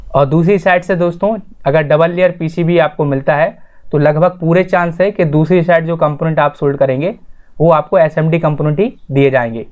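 A man's voice: fairly high pitch, a little mellow. A man hosting an informal explanatory voice-over lesson, addressing viewers directly in an instructional way and restating his points. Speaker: tutorial host.

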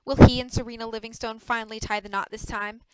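A woman's voice: a little high, little pitch movement, fast talker, very noisy, lightly acted. A woman voicing an upbeat, showy television announcer in an audiobook. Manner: showy, promotional. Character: upbeat television announcer of a soap opera show.

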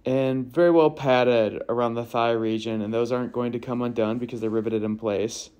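A man's voice: medium pitch, fairly even intonation, normal speed, darkish tone, clean tone, dull audio. Easygoing man narrating kayak features. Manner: easygoing, listing features in short phrases. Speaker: kayak reviewer. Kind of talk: narrated product walkthrough.

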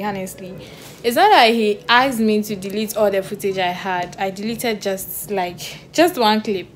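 A young woman with a Ghanaian accent, chatting casually to camera in her room, relaxed and rambling. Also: mid-range voice. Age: young adult. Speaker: vlogger. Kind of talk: casual vlog chat. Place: small bedroom indoors. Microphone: phone held at arm's length. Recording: phone audio with clear background noise.

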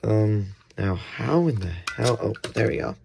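A man's voice: fairly low pitch, lively intonation, measured pace. A man giving casual, unscripted unboxing commentary in a hesitant, rambling way, often correcting himself. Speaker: unboxing video host. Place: indoors at a table. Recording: clear background noise, handling clicks.

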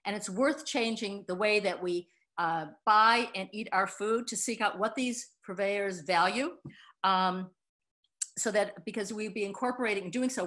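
A woman's voice: medium pitch, fairly bright tone. An older woman, a cookbook co-author, presenting a talk earnestly over a video call from home, with frequent fillers. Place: wood-paneled room at home. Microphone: laptop video call.

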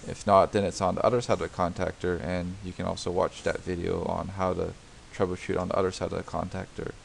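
A man with a lowish, gravelly voice narrates a do-it-yourself repair tutorial over a diagram. He explains patiently, step by step, with frequent fillers and restarted sentences. A faint hiss sits under the voice. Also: little pitch movement, fast talker. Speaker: DIY home repair tutor. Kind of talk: unscripted screen-recorded tutorial narration.

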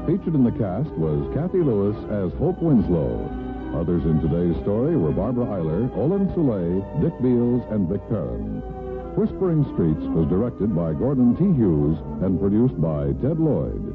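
A man's voice: fairly low pitch, wildly swooping pitch, moderate pace. An American man announcing program credits in 1950s radio broadcast style. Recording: muffled vintage radio broadcast over music.

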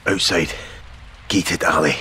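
Cocky Scottish soldier, very low bright voice, blunt, sardonic, video game dialogue, faint rain noise. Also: male voice, fairly even intonation, slow, exaggerated voice. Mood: businesslike. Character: cocky, irreverent male Scottish special forces sergeant. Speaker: soldier character.

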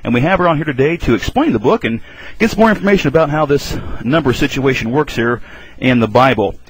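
A man's voice: medium pitch, animated, fast, breathy edge, no room sound, poor audio. A man hosting a talk radio show, introducing his guest and asking questions in a casual, upbeat way. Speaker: radio host.

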